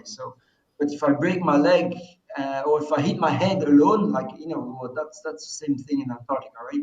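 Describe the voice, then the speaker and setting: A man's voice: medium pitch, a little mellow. A man from Belgium with a Belgian accent, speaking English as a second language as an adventurer being interviewed. He talks conversationally and emphatically about his own experiences. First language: not English. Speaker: interview guest, expedition adventurer. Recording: roomy, distant, dull microphone sound.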